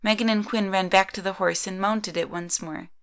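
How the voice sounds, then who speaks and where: A woman's voice: medium pitch, fairly even intonation, moderate pace, fairly bright tone. A woman narrating a scripted story in the third person.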